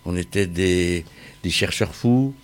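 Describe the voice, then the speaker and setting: A middle-aged man speaking in a group discussion, insistent and halting, often repeating phrases as he argues his opinion. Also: low-pitched. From Vietnamese origin. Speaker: discussion participant, former craftsman and sound technician.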